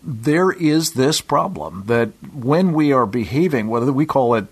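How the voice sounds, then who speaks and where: Husky-voiced male radio host. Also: mid-range voice, animated. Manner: deliberate, drawn-out questions with hesitations. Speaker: radio talk show host. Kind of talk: radio interview questions.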